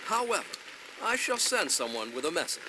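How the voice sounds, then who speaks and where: An actor voicing a stern, haughty elf lord in a video game, formal, authoritative and disdainful. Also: male voice, a little high, very animated, a little fast, background noise. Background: loud music.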